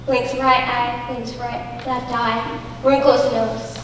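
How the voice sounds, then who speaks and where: Young woman playing high-voiced theatrical absurdist woman, echoey hall. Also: little pitch movement, takes it slowly. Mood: stoic, rambling. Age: young adult. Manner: theatrical, stagey delivery. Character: melodramatic absurdist woman, cheerfully resigned to gloom. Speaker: student stage actor. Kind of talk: absurdist stage comedy acting. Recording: echoey auditorium, distant mic, faint noise.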